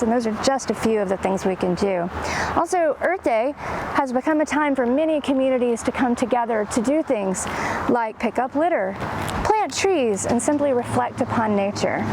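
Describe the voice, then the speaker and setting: Very high-pitched, lively woman reading to children. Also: background noise. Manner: expressive, enthusiastic, exclaiming. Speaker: children's librarian, storytime host. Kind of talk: animated read-aloud storytime for young children, with chatty asides.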